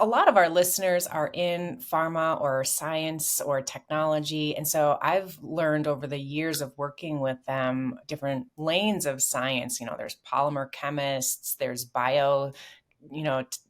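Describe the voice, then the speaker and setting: Low-voiced upbeat woman podcast host. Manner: upbeat, encouraging, with frequent affirmations. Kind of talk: scripted intro then casual interview.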